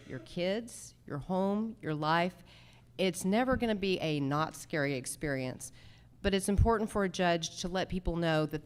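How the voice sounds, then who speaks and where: A woman with a slightly low voice gives campaign remarks at a candidate forum. She is declarative and emphatic, landing her points in short, punchy sentences. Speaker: judicial candidate at a public forum.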